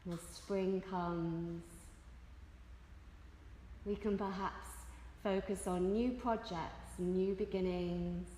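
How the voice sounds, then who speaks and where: Female meditation guide, extremely unhurried and soothing, long pauses, recorded in an echoey space with long reverb. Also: fairly low pitch, steady tone, warm tone, slight background noise. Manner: soothing, measured, with long pauses between phrases. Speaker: yoga nidra teacher leading guided relaxation.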